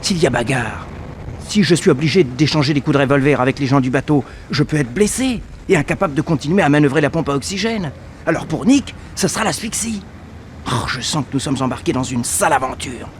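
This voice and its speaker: An actor playing an earnest detective's assistant who narrates a French radio serial in a theatrical way. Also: male voice, a little high, expressive, brisk, lightly acted. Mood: anxious. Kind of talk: dramatic first-person radio narration, 1960s. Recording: slightly noisy old radio recording.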